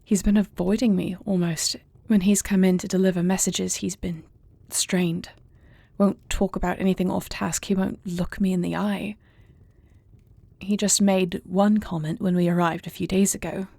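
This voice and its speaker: Woman playing a soft, mellow, creaky-voiced earnest young princess. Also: fairly low pitch, flat delivery, normal speed, dry recording. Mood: worried, uneasy. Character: earnest young princess and warrior heir, anxious yet tender. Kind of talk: intimate audio roleplay acting.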